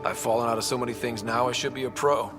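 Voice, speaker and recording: An actor playing a young, heroic squad leader in a sci-fi game, speaking in a plainspoken, resolute, natural way. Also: male voice, medium pitch, fairly even intonation, quick pace, over music, lightly acted. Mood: easygoing. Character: young heroic male space explorer leading his squad. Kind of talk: scripted video game cutscene acting.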